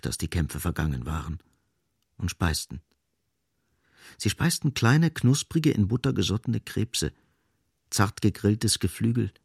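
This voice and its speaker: A man tells a literary story as radio drama narrator. His voice is very low and husky, recorded dry. He phrases deliberately and with measured emphasis. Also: no room sound.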